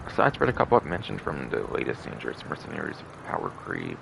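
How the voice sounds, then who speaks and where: Male game streamer, low and very creaky, repetitive rambling commentary. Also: wildly swooping pitch, quick pace, noisy background. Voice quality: gravelly, creaky. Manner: repetitive, circling back over phrases. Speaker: game livestreamer.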